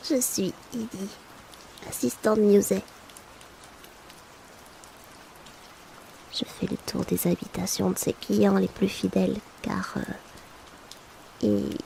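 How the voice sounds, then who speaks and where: A polite young-spirited potion delivery assistant played by a woman speaks in a crackly, often whispered ASMR roleplay voice. The manner is hesitant and confiding, chatty yet discreet. Rain ambience sits clearly behind the voice. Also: medium pitch, dark tone.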